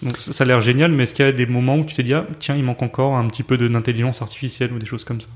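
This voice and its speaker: A man's voice: mid-range voice, little pitch movement, very fast. A man hosting a podcast, asking a guest long, casual questions. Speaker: podcast host, interviewer.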